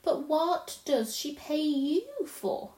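A woman's voice: high-pitched, slow pace, a little mellow, roomy sound, pitched above the performer's own voice. A woman voicing a kind, anxious little girl in a storybook, earnest, polite and pleading. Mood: concerned.

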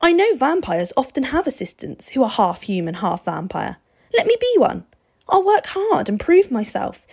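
A woman's voice: a little high, sing-song, normal speed, vocal fry, a little mellow, husky, voice pushed up, full character voice. A woman voicing an eager, stubborn, fearless schoolboy who speaks earnestly and insistently in a storybook reading. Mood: eager, pleading.

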